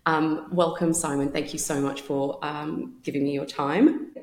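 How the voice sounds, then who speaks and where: A woman podcast host reading a scripted introduction and asking questions in a measured way with fillers. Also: deep voice, flat delivery. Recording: echoey room with faint music.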